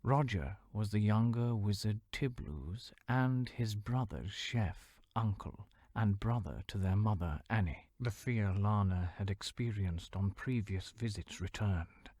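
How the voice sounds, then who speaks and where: A man reads a fantasy novel aloud in a deep, slightly husky voice. His storytelling is measured and steady, dipping into a hushed near-whisper for suspense. The recording is close and dry. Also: no room sound. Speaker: audiobook narrator. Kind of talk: scripted fantasy narration.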